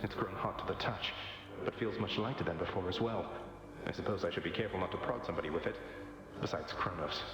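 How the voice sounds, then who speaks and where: An actor playing a wry, easygoing young underworld prince in a video game, speaking in a conversational, slightly refined way. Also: male voice, sits low, quick pace, close to an everyday voice. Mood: wry, hopeful. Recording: heavy dreamlike reverb, game music.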